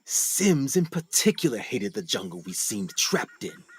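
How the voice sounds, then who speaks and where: An actor playing a 1920s explorer in a radio play, speaking in a deliberate, formal, dramatic way. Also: male voice, fairly low pitch, big pitch swings, a little hiss or hum. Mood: weary, grave. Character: measured 1920s explorer telling his jungle tale.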